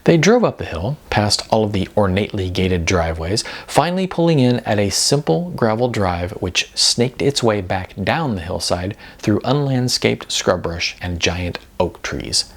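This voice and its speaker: A man narrating an audiobook in a lowish voice with plenty of fry. His pitch moves in a lively way through the scripted storytelling. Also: measured pace, few pauses.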